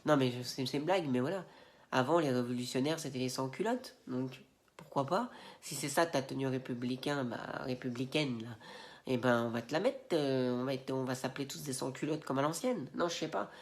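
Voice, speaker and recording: A man speaks to camera for his video channel, nose blocked from a cold. His talk is loose and slangy, wandering through fillers and restarts with a steady indignant edge. His pitch sits in the middle range. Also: fairly quick. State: has a head cold, stuffy nose. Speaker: Instagram video creator. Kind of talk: casual opinion rant to camera.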